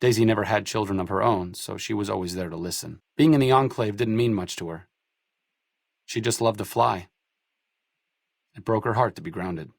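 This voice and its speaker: A man's voice: fairly low pitch, moderate pace, creaky, pauses often, dry recording. A man playing a wry, bookish idealist in a video game, with a dry, erudite and sardonic way of talking. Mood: reflective. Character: wry, bookish male idealist medic, dryly sarcastic. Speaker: companion character in a role-playing video game. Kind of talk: video game character dialogue.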